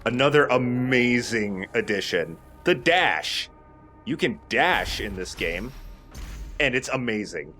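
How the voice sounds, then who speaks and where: A man's voice: medium pitch, lively intonation, takes it slowly, sharp tone, soft background music. A man hosting a casual let's play, explaining the game and giving his opinions, with some mild swearing. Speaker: host playing a video game.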